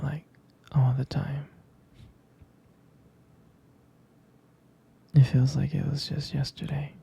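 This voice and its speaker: A man plays a devoted, possessive boyfriend in an intimate ASMR roleplay. His voice is very dark and soft, heavily creaky and very breathy. He speaks slowly and haltingly, with tenderness toward his partner. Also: on the high side, full character voice. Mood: fond, nostalgic. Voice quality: breathy, gravelly whisper. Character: jealous, protective, devoted young boyfriend soothing his partner.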